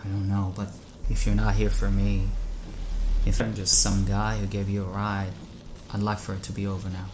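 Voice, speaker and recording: A man acting in an audio drama as a lonely, heartsick driver lost at night, speaking in a halting, questioning way. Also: fairly low pitch, monotone, noisy background, close to an everyday voice. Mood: anxious, resigned.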